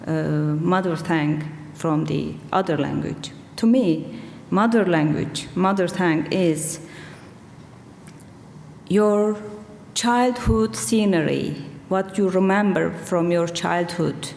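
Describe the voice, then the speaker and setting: A woman in her forties with a Turkish accent, her first language Kurdish, speaking English with unhurried care. Her voice sits a little low and rings out in a roomy hall, with a faint room hum behind her. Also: creaky, a little mellow. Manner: slow, thoughtful, searching for words. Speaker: poet on a festival panel. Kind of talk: panel discussion answer. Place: large hall with audience. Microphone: table microphone.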